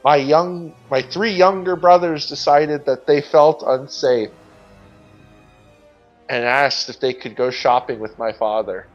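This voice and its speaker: A man's voice: mid-range voice, unhurried, close to an everyday voice. A man putting on an old person's voice to read a written account aloud with mock drama. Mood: theatrical. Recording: dull lo-fi sound with music behind.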